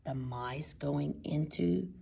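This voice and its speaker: A woman reading a picture book aloud as a teacher, expressively, pausing to question and explain to young listeners. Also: low voice, vocal fry, heavy background noise.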